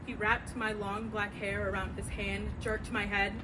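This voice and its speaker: An actress playing a battered wife, wounded and defiant, delivering an emphatic monologue at a staged reading. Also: female voice, little pitch movement, measured pace, natural voice. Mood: wounded, grieving. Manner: emphatic, vivid storyteller. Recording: noisy live venue, traffic-like rumble.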